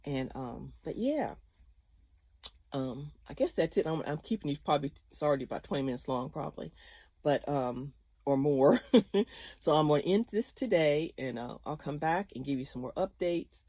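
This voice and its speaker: A woman's voice: sits low, expressive, moderate pace, warm tone, no room sound, clean studio sound. A woman in her fifties talking casually to her viewers, chatty and rambling, often laughing. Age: 50s. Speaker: vlogger.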